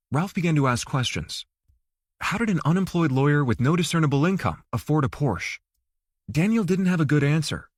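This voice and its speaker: Expressive male audiobook narrator, slightly husky. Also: mid-range voice, no echo, studio quality. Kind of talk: scripted fiction narration.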